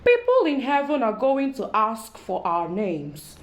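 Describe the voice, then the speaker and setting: A woman's voice: a little high, wildly swooping pitch, measured pace. A woman voicing a cunning, greedy male tortoise in a children's storybook, with a formal, persuasive way of talking. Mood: sly, persuasive.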